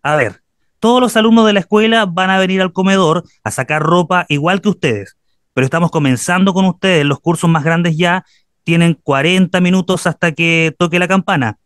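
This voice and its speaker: A man's voice: high voice, normal speed, a bit bright, clean tone, no echo, studio quality. A man playing a stern, impatient schoolteacher who commands and scolds pupils in a radio drama. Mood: brisk, instructing.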